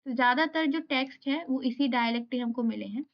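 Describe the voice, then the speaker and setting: A woman with an Indian accent teaching a class, reading English notes aloud slowly and then explaining them again. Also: high voice, lo-fi sound.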